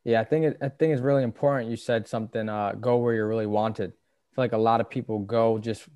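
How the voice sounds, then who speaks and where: Low-voiced casual podcast host man. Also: fairly even intonation, a little mellow, clear, resonant tone, no room sound, lo-fi sound. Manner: easygoing, halting with uh fillers. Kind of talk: casual interview chat.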